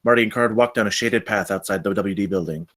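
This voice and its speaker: A man's voice: fairly low pitch, quick pace, fairly bright tone. A man reading stage directions as the narrator of a screenplay table read.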